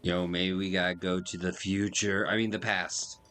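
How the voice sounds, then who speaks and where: A man's voice: low voice, little pitch movement, moderate pace, pushed and bright, a little hiss or hum. A man livestreaming a game, chatting casually in slang and reading game text aloud in a laid-back way. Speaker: game streamer. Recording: faint game music behind.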